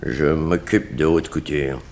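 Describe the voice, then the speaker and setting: An actor playing a calm, coercive and sardonic blackmailer in a 1960s French radio crime drama. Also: male voice, very deep voice, measured pace. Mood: brisk, businesslike. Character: menacing male blackmailer, smooth crook in haute couture.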